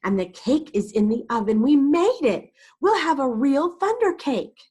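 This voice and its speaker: A woman voicing a gentle, encouraging old grandmother in a children's storybook, speaking softly and reassuringly. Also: sits high, sing-song, unhurried, pitched above the performer's own voice. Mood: delighted. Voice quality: soft. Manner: reassuring, tender.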